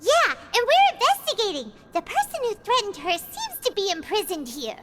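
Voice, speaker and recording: A woman voicing a small, excitable, childlike fairy girl in a video game, speaking in exclamations. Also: extremely high pitch, normal speed, very noisy, exaggerated voice. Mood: eager. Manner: exclamatory, refers to self in third person. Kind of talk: animated video game dialogue.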